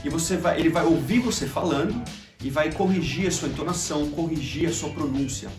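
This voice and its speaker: A man's voice: a little high, fairly quick, far from the mic. A Brazilian man with a Brazilian Portuguese accent, hosting a casual vlog and chatting to camera with lots of fillers. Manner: chatty, conversational, frequent fillers. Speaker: YouTube channel host. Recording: roomy echo, faint music behind.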